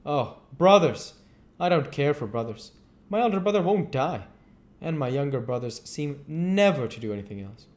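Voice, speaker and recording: A man voicing a witty, vain English gentleman from a novel, with an exclamatory, teasing and pompous manner. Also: a little high, expressive, normal speed, natural voice. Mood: breezy, witty.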